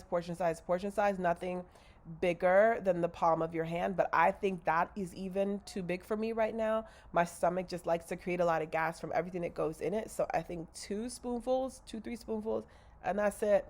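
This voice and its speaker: A woman's voice: on the low side, a little mellow. A woman with a slightly nasal, congested voice, rambling candidly in a casual video diary and correcting herself as she goes. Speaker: vlogger.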